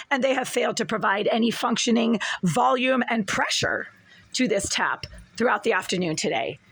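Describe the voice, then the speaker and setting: A woman with a hoarse, strained voice, filming a long complaint in her apartment kitchen on her phone, going over her grievances in detail and repeating herself. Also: medium pitch, fairly bright tone, no echo. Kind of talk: complaint video filmed at home.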